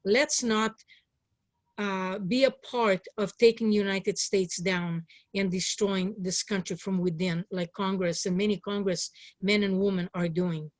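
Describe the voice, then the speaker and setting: A woman's voice: medium pitch, steady tone, measured pace, dry recording. Earnest woman in her sixties, closely recorded. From born in Hungary. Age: 60s. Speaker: political candidate, interview guest. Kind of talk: personal testimony in an interview.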